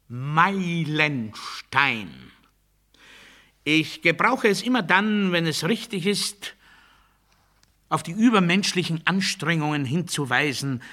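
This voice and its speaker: Radio play role: pompous militaristic zealot, male, piercingly forceful, fairly high-pitched, emphatic oratorical delivery. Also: full character voice. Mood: controlled, measured. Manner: pompous, emphatic, swinging from hushed to strident. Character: fanatical militaristic male orator declaiming rigid dogma. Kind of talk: German radio play monologue, oratorical declamation.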